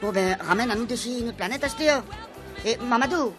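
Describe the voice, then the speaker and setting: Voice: mid-range voice, a little fast, recorded from a distance, close to an everyday voice. An actor playing a rustic, cheeky alien creature with a Réunion Creole accent, rambling in slang in a comic radio serial, with music underneath. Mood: grumbling.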